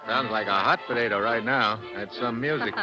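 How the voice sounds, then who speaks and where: An actor playing a wry, flirtatious American foreign correspondent in a 1940s radio drama, with a casual, teasing manner. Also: male voice, mid-range voice, measured pace, dull audio, over loud music, natural voice. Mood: flirtatious, playful. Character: breezy, flirtatious American male foreign correspondent, adventurous investigator. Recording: vintage 1940s radio recording.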